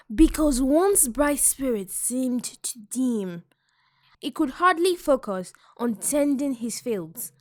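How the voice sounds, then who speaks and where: A woman narrating a folktale in a calm, measured, scripted reading. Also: sits high, no echo, studio quality. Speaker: storyteller.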